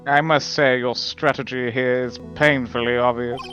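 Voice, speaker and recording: A man playing a smug, composed young prosecutor, pompous and measured, in a live dub of a courtroom game. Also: mid-range voice, fairly even intonation, fairly bright tone, highs cut off, soft background music, lightly acted. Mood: condescending.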